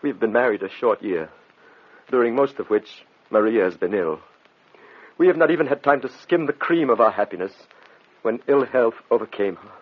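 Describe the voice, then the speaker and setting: An actor playing a desperate, devoted husband, earnest and pleading in a melodramatic old radio drama style. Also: male voice, mid-range voice, expressive, normal speed, slightly rough. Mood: anguished. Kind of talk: vintage radio drama acting. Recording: dull old radio recording.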